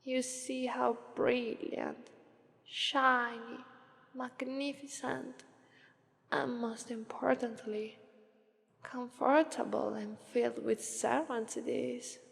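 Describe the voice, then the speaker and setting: A woman with an Italian accent voicing a lazy, aloof, regal demon of Sloth in an unhurried, detached ASMR roleplay. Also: on the high side, level tone, creaky, exaggerated voice. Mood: smug, detached. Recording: long reverberant hall echo.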